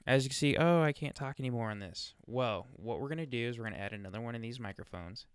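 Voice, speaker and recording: A man presenting a casual software walkthrough, chatting informally and thinking aloud as he demonstrates, speaking into a close USB microphone. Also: mid-range voice, fast, smooth, dry recording. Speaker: tutorial presenter.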